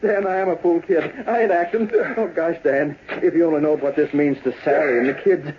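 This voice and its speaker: A man's voice: on the high side, brisk, lightly acted. A man playing an eager, good-hearted young American miner in an old-time radio western, boyish and earnest. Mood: excited. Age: young adult. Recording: dull, noisy vintage radio recording.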